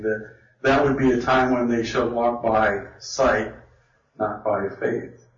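A man teaches a Bible study in a conversational way, thinking out loud and restarting sentences as he goes. His voice sits at an ordinary middle pitch and is caught by a distant mic in an echoey hall. The sound is a little dull. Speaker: Bible study speaker leading a question session. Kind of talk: informal teaching talk. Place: meeting hall with an audience.

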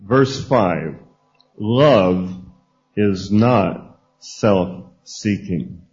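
A man's voice: on the low side, expressive, extremely slow, a little mellow, highs cut off. A man on a church panel, reading scripture and an article aloud in an earnest way. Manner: earnest, reads aloud with small restarts.

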